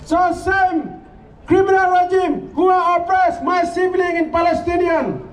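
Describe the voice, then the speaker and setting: A man from West Papua shouts an impassioned speech at a street protest, his voice very high and held almost on one note. He is heard from a distance through a roomy, echoing sound with faint noise behind. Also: steady tone, unhurried. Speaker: protest speaker. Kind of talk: rally speech. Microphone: handheld microphone on speakers.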